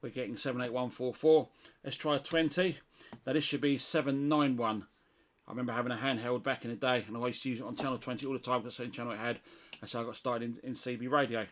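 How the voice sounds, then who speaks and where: A man's voice: mid-range voice, fairly even intonation, fast talker, a bit bright. A middle-aged British man giving a casual hands-on demonstration, thinking aloud as he goes. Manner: unscripted, thinking aloud while testing. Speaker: CB radio hobbyist presenter.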